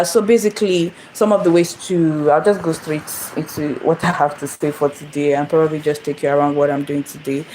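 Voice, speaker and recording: A woman's voice: low-pitched, darkish tone, slight background noise, rough recording. A young woman from Nigeria hosting a casual phone livestream, rambling and repeating herself as she greets viewers. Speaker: channel host. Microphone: phone camera.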